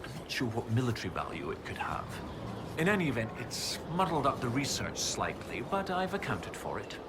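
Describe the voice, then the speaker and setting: An animated bookish young scholar. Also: male voice, medium pitch, brisk. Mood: enthusiastic, explaining. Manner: scholarly, enthusiastic, precise explaining. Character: eager, bookish young male research professor, fussy and earnest. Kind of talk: video game voice acting. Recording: game audio over noisy outdoor ambience.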